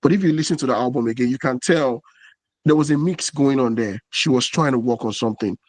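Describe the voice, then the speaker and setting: A man's voice: mid-range voice, brisk, no echo. Man explaining music history in a dry room. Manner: explanatory, earnest, many fillers. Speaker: podcast co-host. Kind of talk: casual podcast discussion.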